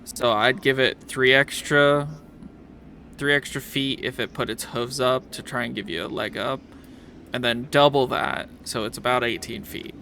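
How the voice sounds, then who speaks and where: A man acts as game master, talking through rules and describing scenes off the cuff. His voice sits at an ordinary middle pitch. There is faint noise behind him. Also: steady tone, moderate pace, pushed and bright. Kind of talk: improvised tabletop game narration and rulings.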